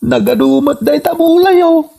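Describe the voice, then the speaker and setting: An actor playing a cheeky, greedy working husband with an Ilocano accent in a radio comedy drama, husky and wheedling. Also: male voice, very high-pitched, measured pace, warm tone, full character voice. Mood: wheedling, excited. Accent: Filipino, Ilocano.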